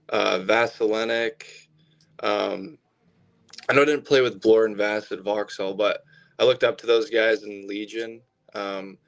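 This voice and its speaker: A man's voice: fairly low pitch, a bit bright, clear, resonant tone. A man, answering casually and reflectively as an athlete in an interview. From southern Alberta, Canada. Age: 20s. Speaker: baseball pitcher being interviewed.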